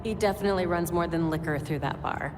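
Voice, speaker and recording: A woman playing a sharp, focused young detective vigilante in a video game, analytical and wry as she thinks aloud. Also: lowish voice, fast, noisy background, natural voice. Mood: wry, musing. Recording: long reverb under music.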